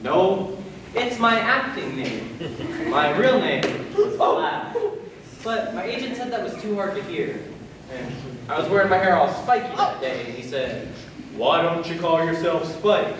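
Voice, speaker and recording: A man's voice: high voice, very animated, unhurried, heavy reverb. Distant noisy stage sound, man acting as cocky dim young actor, twenties, breezy, swooping delivery. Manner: breezy, self-satisfied, simple. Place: stage in a large hall, far from microphone.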